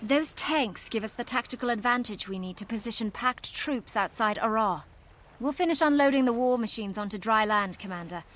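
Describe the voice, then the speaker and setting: High-voiced, stern woman officer acted. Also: moderate pace, lightly acted. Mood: grave, businesslike. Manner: commanding, formal. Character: stern, disciplined female plant-folk military officer. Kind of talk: video game cutscene acting. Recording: loud game music behind.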